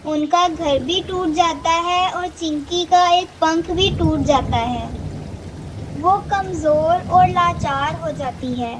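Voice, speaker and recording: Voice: high-pitched, fairly bright tone, a little hiss or hum, rough recording. A narrator telling a scripted children's cartoon story.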